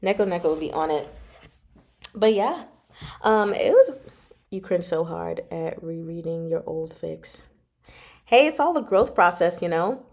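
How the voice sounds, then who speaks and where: Woman, livestream host, slightly low pitch, casual chat, informal, giggly, answering viewer comments. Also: animated, measured pace, long pauses, darkish tone. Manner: giggly, informal, chatty asides. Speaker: livestream host reading chapters aloud and chatting with viewers.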